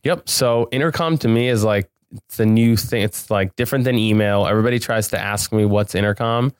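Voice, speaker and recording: Smooth, low-pitched man chatting casually. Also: fairly quick, no echo, clean studio sound. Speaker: podcast guest, business owner. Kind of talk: casual interview chat.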